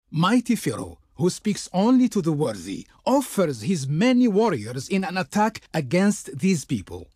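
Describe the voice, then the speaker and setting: An actor playing a proud, ceremonious Egyptian emissary in a video game, declaiming flowery, servile words with formal reverence. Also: male voice, on the high side, a little mellow, husky, dry recording, clean studio sound, heavily acted. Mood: solemn, urging. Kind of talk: theatrical video game character dialogue.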